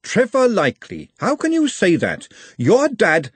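A forthright young working woman, earnest and motherly, as a male narrator puts her on. Her husky voice sits high and swings widely, in a dry, close sound. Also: huge pitch swings, unhurried, dry recording, exaggerated voice. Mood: indignant.

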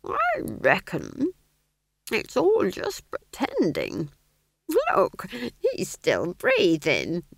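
A woman voicing an easygoing, critical older country witch in an audiobook, with slow, deliberate, homely talk. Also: fairly low pitch, big pitch swings, gravelly, slightly rough, no echo, clean studio sound, exaggerated voice. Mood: pondering.

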